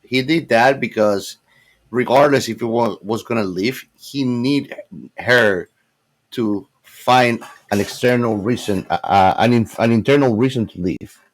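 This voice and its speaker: A man's voice: medium pitch, measured pace, low-quality recording. A man co-hosting a casual movie discussion, talking in a slangy, emphatic way. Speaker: podcast co-host.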